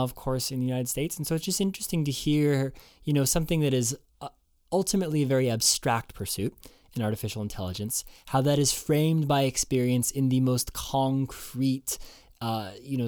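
A man's voice: medium pitch, a little mellow, dry recording. Casual man hosting a podcast, dry sound. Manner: casual, hedging with many fillers. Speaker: podcast host. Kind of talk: podcast intro and interview.

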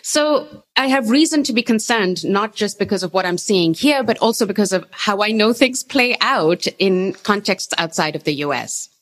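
A woman's voice: medium pitch, animated, normal speed, hardly any pauses. A woman from Pakistan, a guest on an interview podcast, speaking in an earnest, articulate and concerned way. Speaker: guest, academic.

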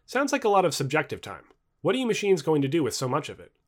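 A man's voice: a little high, wildly swooping pitch, fast talker. A man voicing a skeptical, sarcastic present-day woman in an audio book dialogue. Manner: wry, sarcastic, questioning.

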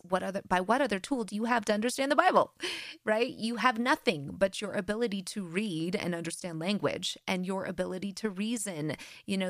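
An American woman hosting a faith podcast, talking directly and earnestly with lively emphasis. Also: mid-range voice, vocal fry, no echo, clean studio sound. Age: adult with grown-past-baby children. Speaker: podcast host. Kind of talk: solo faith podcast talk.

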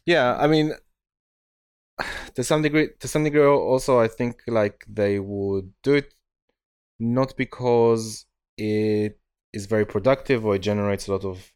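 A man's voice: lowish voice, fairly even intonation, unhurried, pauses often, darkish tone, smooth, no echo, clean studio sound. A man hosting a podcast conversation, hesitant with many fillers as he asks his guest questions. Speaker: podcast host. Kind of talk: casual interview conversation.

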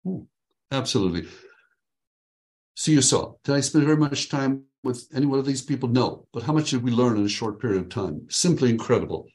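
Man, workshop leader on a video call from home, upbeat and joking, middle pitch, dictates key phrases slowly. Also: expressive, darkish tone. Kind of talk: coaching a group on a video call. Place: his home.

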